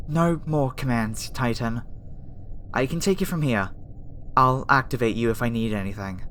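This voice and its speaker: A woman voicing a gentle, flustered young female alien scientist in an ASMR roleplay, speaking soothingly and hesitantly with stammers. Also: a bass voice, normal speed. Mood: gentle, reassuring. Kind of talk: ASMR roleplay audio acting. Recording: faint background noise under the voice.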